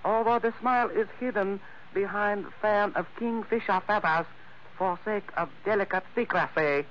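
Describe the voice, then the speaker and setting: A man's voice: sits high, normal speed, natural voice. An actor playing a smiling, sly henchman who speaks ornate, obsequious broken English in a 1940s radio adventure. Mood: smooth, polite menace. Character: smiling, sly, flowery-spoken Chinese henchman with a gun. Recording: noisy, narrow vintage radio recording.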